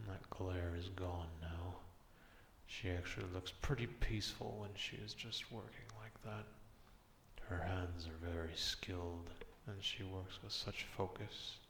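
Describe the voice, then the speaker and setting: A very deep, gravelly male beast, acted by a man, slow and grumbling. He is a distrustful, menacing guardian of the forest in an ASMR roleplay, speaking down to humans with haughty disdain over faint background noise. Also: fairly even intonation, fairly bright tone, heavily acted. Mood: brooding, musing.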